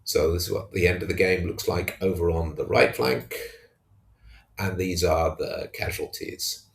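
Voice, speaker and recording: A man giving an unscripted recap of a tabletop wargame in a hesitant, halting way. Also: low-pitched, flat delivery, vocal fry. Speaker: tabletop wargamer narrating own battle report. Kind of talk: unscripted match recap commentary.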